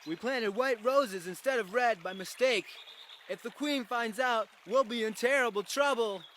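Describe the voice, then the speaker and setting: A man's voice: extremely high pitch, normal speed, fairly bright tone, slight background noise. A man voicing an anxious playing-card gardener in a children's storybook cartoon. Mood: worried.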